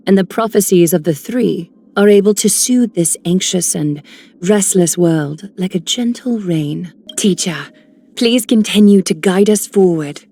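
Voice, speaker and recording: A woman playing a gentle, devout and respectful character in a video game. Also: on the low side. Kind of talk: dramatic game voice acting.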